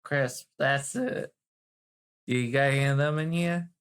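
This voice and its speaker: A woman's voice: a bass voice, unhurried, clean tone. A woman chatting casually and teasingly as she improvises her way through a roleplay game as a player. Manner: playful, teasing, informal. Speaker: player in a tabletop roleplay podcast.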